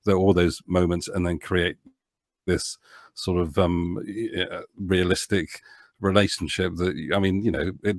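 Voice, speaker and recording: A man's voice: sits low, steady tone, unhurried, creaky, no echo, studio quality. A man speaking as a podcast guest in casual interview talk, earnest and often circling back over his words. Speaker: podcast guest, audio drama producer.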